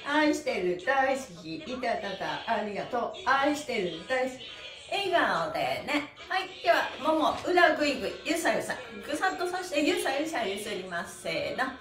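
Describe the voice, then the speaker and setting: A woman's voice: high-pitched, big pitch swings, normal speed. A middle-aged woman cheerfully leading a stretching lesson, calling out encouragement and rhythmic chanted counts as she moves. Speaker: stretch exercise instructor and host. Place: small bare-walled room indoors. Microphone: camera a few metres away. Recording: roomy echo, distant mic, music.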